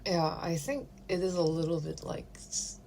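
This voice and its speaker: Korean lady cohost, kinda low voice, lots of likes and you knows. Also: moderate pace, mellow, slight background noise. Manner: hesitant, many fillers, frequent laughs. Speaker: podcast co-host. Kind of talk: casual chat.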